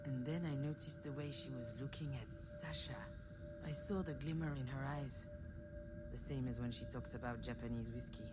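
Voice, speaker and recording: Visual novel lead: a rueful, self-effacing grown daughter, low-pitched, reflective confessional storytelling, prominent music bed. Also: female voice, steady tone, normal speed. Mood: confiding, uneasy. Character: self-deprecating, wistful adult daughter recalling family troubles. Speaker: narrator and protagonist of a visual novel. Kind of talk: confessional first-person scripted narration. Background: loud music.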